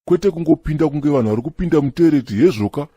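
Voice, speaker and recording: A man's voice: on the high side, slightly rough, no echo, highs cut off. An actor voicing a chatty Zimbabwean cartoon church member who argues and laughs as he talks. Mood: lively. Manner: fast, excitable, argumentative and laughing. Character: chatty adult male apostolic church member. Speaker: cartoon character, Chikombera. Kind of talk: comic cartoon dialogue.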